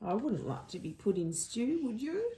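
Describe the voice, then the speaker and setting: A woman reading a picture book to young children, pausing to ask the listeners questions. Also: a little high, big pitch swings, warm tone, slight background noise. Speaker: storyteller.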